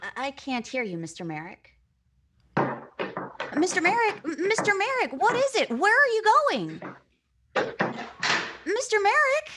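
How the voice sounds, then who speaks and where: A man voicing a young female secretary in a radio drama, in a high falsetto. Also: wildly swooping pitch, unhurried, pauses often, noisy background, full character voice. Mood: alarmed.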